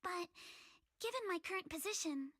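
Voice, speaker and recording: A woman's voice: very high voice, expressive, normal speed, fairly bright tone, no echo, studio quality. An actress playing a proud, formal, timid young noble lady in an anime-style game, speaking in a prim, polite manner.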